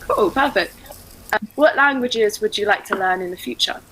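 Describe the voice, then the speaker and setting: A woman's voice: mid-range voice, moderate pace, pushed and bright, low-quality recording. A woman with an English accent, asking speaking-test questions in a friendly way. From England. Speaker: examiner.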